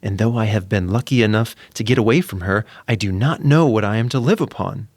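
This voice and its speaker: A man's voice: lowish voice, fairly quick, a little mellow, no room sound. A man voicing an aging, rueful female cat in a storybook narration.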